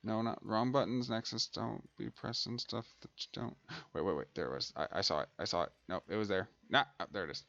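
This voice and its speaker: A man's voice: fairly low pitch, a little fast, creaky, fairly bright tone, no echo, lo-fi sound. A man giving casual let's play commentary as a gaming host, thinking aloud and muttering to himself.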